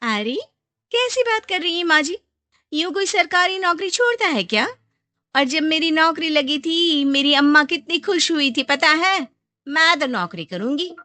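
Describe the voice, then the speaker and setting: A woman's voice: high-pitched, lively intonation, unhurried, pushed and bright, dry recording, voice pushed up, heavily acted. A woman voicing a blunt, bossy, burly young female bus conductor in a storybook tale. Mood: defiant.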